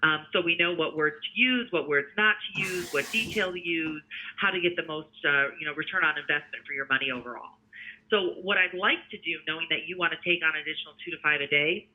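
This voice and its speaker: A man giving a conversational sales pitch over the telephone as a sales representative, explaining things step by step. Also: fast talker. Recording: tinny narrowband phone line.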